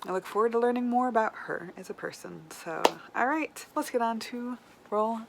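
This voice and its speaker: A woman chatting casually to viewers as a book vlogger, with animated, self-deprecating asides. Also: on the high side, brisk. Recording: clear background room noise.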